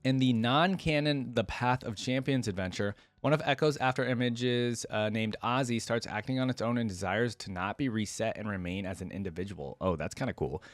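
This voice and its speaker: A man's voice: medium pitch, a little fast, hardly any pauses, no echo. A man hosting a reaction channel, reading aloud and adding casual, conversational commentary. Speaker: host of a game lore reaction channel.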